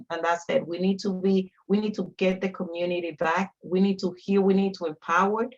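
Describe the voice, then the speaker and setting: A woman with a Dominican Spanish accent speaks in a fairly low voice as an advocate on a panel. Her phrasing is emphatic and repetitive, pressing each point home. The sound is somewhat dull and lo-fi. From Dominican Republic. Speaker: panelist, community organizer. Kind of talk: panel discussion, impassioned advocacy.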